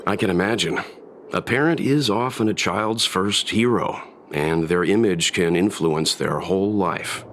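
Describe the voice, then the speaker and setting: A man playing a calm, wry and thoughtful space traveler in a video game, speaking in a measured, gentle way. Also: low-pitched, slight background noise. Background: music.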